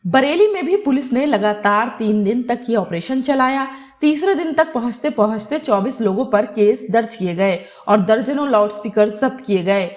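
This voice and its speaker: A woman's voice: fairly high pitch, expressive, moderate pace. A woman narrating a scripted news report as a news presenter.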